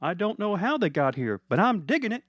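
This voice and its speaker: Man doing a goofy, oblivious husband, pitched up. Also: big pitch swings, a little fast, dry recording, clean studio sound. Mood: carefree. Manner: goofy, carefree, slangy. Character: cheerful, oblivious husband, a shrinking small-town baker.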